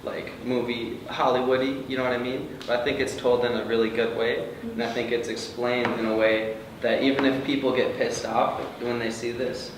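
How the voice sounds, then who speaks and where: A man's voice: medium pitch, level tone, normal speed, hardly any pauses. A man speaking earnestly as an actor in a group discussion at a table read. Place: indoor room with a group. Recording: very echoey room, distant, noisy.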